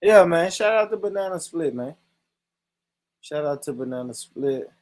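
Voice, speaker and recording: A man's voice: on the high side, lively intonation, moderate pace, darkish tone. A man hosting a vlog, chatting loosely. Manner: laid-back, addressing viewers. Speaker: vlogger host. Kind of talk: casual vlog chat.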